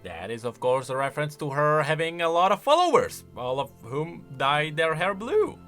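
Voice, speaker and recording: A man giving unscripted reaction commentary on game voice lines in an animated, exclamatory way. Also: on the high side, moderate pace, faint music behind. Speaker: YouTube commentator.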